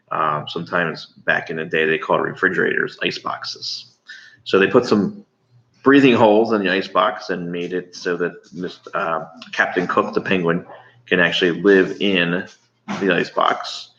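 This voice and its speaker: American guy teacher, low voice but bright, talks to his class kinda haltingly, echoey room w/ slight hiss. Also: creaky. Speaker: elementary school teacher. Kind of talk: informal read-aloud lesson for pupils. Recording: roomy, dull, faintly noisy home recording.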